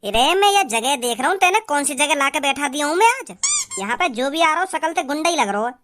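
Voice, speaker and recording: An actor performs blustering male characters from a village comedy cartoon. The voice is high, with a habit of repeating words. Faint noise sits behind it. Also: lively intonation, quick pace. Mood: grumbling.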